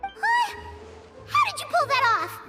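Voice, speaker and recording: A woman voicing a small, childlike, boastful and excitable fairy companion in a video game, with a squeaky, bubbly delivery. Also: slow pace, over loud music, heavily acted. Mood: anxious, surprised. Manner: speaks of self in third person, bubbly. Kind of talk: video game character acting.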